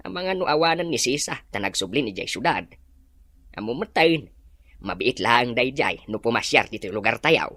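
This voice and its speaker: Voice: medium pitch, expressive, moderate pace, fairly bright tone, dry recording. A performer playing a practical, dismissive parent advising a grown child in a radio drama.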